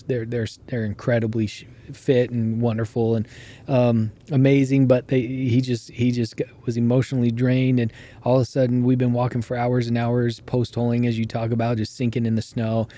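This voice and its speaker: A man hosting a podcast, chatting casually with a hoarse voice that keeps going out and telling stories in an animated way. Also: mid-range voice, normal speed. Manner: animated storyteller who laughs while telling. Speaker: podcast host. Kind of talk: casual interview chat.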